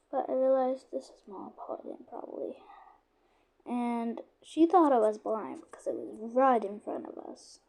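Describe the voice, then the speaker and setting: Woman, sore gravelly voice, slightly high, unhurried rambling first-person storyteller who half-whispers. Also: poor audio. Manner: soft, often slipping into a whisper; rambling, with frequent "obviously" and restarts. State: sore, lowered voice. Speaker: channel creator narrating a roleplay.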